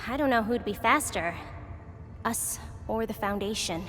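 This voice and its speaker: An actress voicing a calm, friendly, knowing female mentor in a video game, explaining in a composed, familiar way. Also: mid-range voice, unhurried, slight background noise. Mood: welcoming. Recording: long reverb, music behind.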